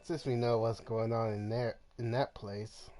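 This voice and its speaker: A man giving casual commentary on his gameplay, muttering to himself with sudden loud outbursts. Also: mid-range voice, steady tone, a little slow, faint music behind. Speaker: gamer.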